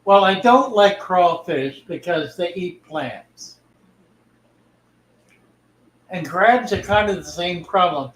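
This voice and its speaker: A man's voice: sits high, animated, a little slow, a bit bright, echoey room, slight background noise, poor audio. An older man hosting a livestream about fish keeping, talking in a folksy, easygoing way and reading viewer comments aloud. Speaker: livestream host, fish keeper. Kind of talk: casual livestream chat.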